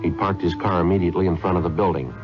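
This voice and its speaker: A low-pitched, stoic detective sergeant acted by a man delivers clipped, deadpan lines in 1950s radio drama style. He is matter-of-fact and terse. The old recording is dull, with music prominent around the voice. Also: very fast, lightly acted. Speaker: lead actor and narrator. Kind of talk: 1950s radio acting, clipped scripted narration.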